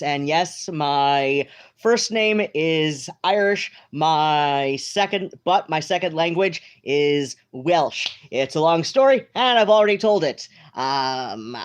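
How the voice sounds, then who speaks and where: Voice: mid-range voice, smooth. A live-stream host chatting casually from home, meandering through thoughts with lots of fillers and restarts.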